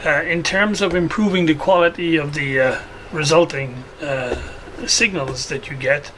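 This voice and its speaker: A man's voice: fairly high pitch, normal speed, noisy background. A man giving a casual, unscripted walkthrough of a hobby project, hesitating as he thinks aloud. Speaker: hobbyist video host.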